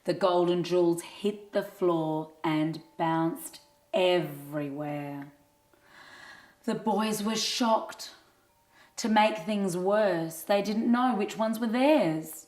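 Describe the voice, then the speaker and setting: Lady reading a kids story, lowish voice, super slow, expressive, echoey. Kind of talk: scripted children's story narration. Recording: roomy, echoing sound.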